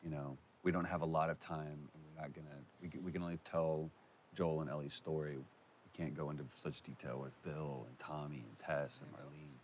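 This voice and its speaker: A man's voice: a bass voice, flat delivery, a little fast, a little mellow, slight background noise. A man chatting casually as a commentator on video game cut scenes. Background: game cut-scene sound.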